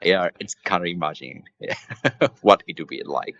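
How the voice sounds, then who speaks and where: A man's voice: sits low, moderate pace, a bit bright, dull audio. A man, an English student in casual conversation practice, hesitant and laughing often between words. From Japan. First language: Japanese.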